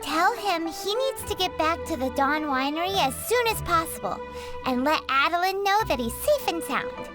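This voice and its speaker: A woman voicing a tiny, candid, childlike floating girl companion in a video game, with a squeaky voice and music behind her. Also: animated, moderate pace. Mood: chirpy, businesslike. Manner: speaks of self in third person. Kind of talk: animated video game dialogue.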